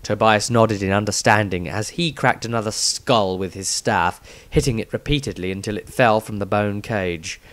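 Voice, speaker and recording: A man's voice: on the low side, moderate pace, hardly any pauses, fairly bright tone. A man reading a scripted fantasy tale as its narrator, in a dramatic storytelling style. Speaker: author reading his own story.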